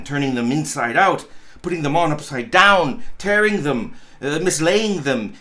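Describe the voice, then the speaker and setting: A man, a pastor, reading a storybook aloud in an expressive, theatrical and emphatic way. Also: on the high side.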